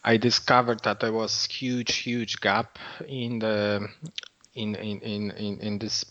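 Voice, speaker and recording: A man's voice: lowish voice, level tone, takes it slowly, a bit bright. A man answering interview questions in a hesitant way, full of fillers and repeated words. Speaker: nonprofit founder, guest. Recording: dull lo-fi audio.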